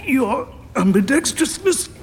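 A man's voice: very high-pitched, sing-song, unhurried, slight background noise, exaggerated voice. A man playing a long-suffering old Lord Chamberlain in an audio drama, formal, deferential and drily ironic. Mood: drily reproachful. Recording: long hall reverb.

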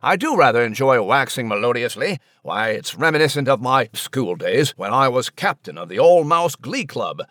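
A man voicing a pompous gentleman mouse with genteel, old-fashioned manners in an audio drama. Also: medium pitch, big pitch swings, moderate pace, pauses often, no room sound, studio quality. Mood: boastful. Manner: pompous, genteel, chuckling at own jokes.

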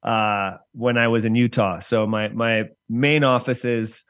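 A man from the San Francisco Bay Area talking casually as a podcast guest, in halting, rambling explanations. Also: mid-range voice, fairly even intonation, a bit bright, clear, resonant tone, no room sound, clean studio sound. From San Francisco Bay Area, United States. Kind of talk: casual interview talk.

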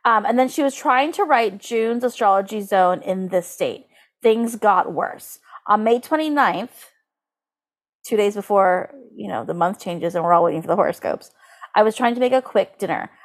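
A woman co-hosting a podcast in casual comedic chat, animated and giggly, sprinkling her sentences with "like". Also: mid-range voice, moderate pace. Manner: animated, giggly, uses "like" constantly. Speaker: podcast co-host. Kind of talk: casual comedic chat, recapping and reading aloud.

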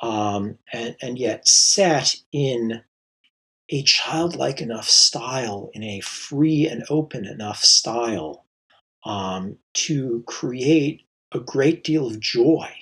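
Husky, halting male composer. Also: mid-range voice, animated, a bit bright, poor audio. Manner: thoughtful, halting, many fillers and restarts. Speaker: composer guest. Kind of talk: reflective interview answers.

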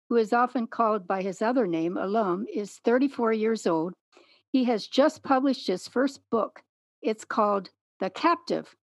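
A middle-aged woman hosting an interview, speaking in a clear, measured way. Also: fairly high pitch, dry recording. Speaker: podcast host and interviewer.

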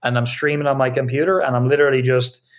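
Man answering in quick, loose bursts. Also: mid-range voice, level tone. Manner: quick, loose, many restarts and fillers. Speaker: podcast guest, online business co-founder. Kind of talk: casual interview answers. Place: home video studio with lights.

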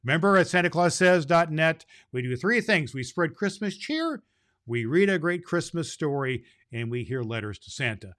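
A man's voice: fairly high pitch, animated, normal speed, a bit bright. Man as a jolly Santa storyteller. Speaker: podcast host playing Santa Claus. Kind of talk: children's storybook reading and show chat.

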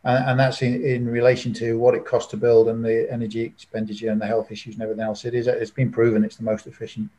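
A man, speaking in a level, steady tone. He chats casually and encouragingly, often repeating words as he goes. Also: mid-range voice, quick pace, few pauses. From New Zealand. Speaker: podcast panelist. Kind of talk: casual chat.

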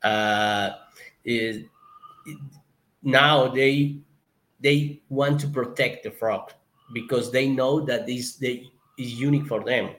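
A man's voice: medium pitch, fairly even intonation, slow pace, fairly bright tone, rough recording. A man from Colombia with a Colombian Spanish accent, answering as a guest in an interview, often stopping and restarting as he searches for words. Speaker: guest, conservation project member.

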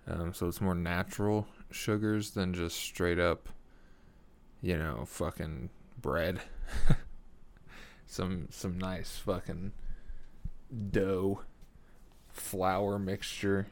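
A young man with a deep voice hosting a casual solo podcast, laid-back and swearing often, chuckling at his own stories. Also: fairly even intonation, extremely slow. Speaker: podcast host. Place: small home room with foam on the walls. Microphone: studio mic at a desk.

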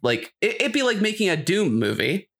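A man's voice: high-pitched, sing-song, normal speed, a bit bright. A man co-hosting a podcast, chatting casually and giving opinions in an informal way. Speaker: podcast co-host. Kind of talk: casual discussion chat.

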